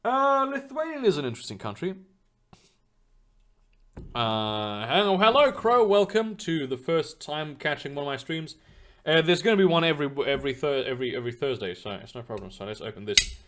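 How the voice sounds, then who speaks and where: A man's voice: on the high side, very animated, a little fast, fairly bright tone. A young man streaming live, chatting loosely with his viewers in a rambling way, full of fillers and casual swearing. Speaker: streamer reading chat.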